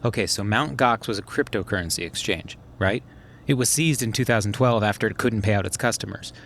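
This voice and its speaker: An actor playing a sharp, methodical schemer who explains things conversationally in a naturalistic audio drama. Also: male voice, fairly low pitch, brisk, gravelly, slight background noise. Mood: explaining intently. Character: sharp, methodical male schemer plotting revenge on a swindler.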